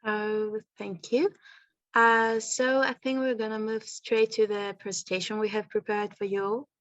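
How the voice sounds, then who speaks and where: Slow-paced, hesitant woman from Greece. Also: medium pitch, flat delivery, dry recording. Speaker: youth minister leading a presentation. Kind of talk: prepared presentation on a group video call. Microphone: video call. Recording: muffled video-call audio.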